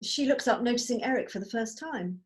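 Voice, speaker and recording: A woman's voice: on the high side, animated, brisk. A woman narrating scripted stage directions at a drama table read held over a video call.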